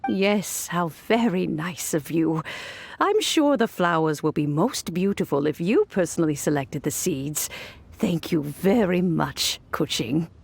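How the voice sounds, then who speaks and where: An actress voicing a gentle, teasing old granny in a video game, speaking fondly and without hurry. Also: female voice, lowish voice, animated, vocal fry, slightly rough, exaggerated voice. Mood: warm, pleased. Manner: unhurried, fond, gently teasing.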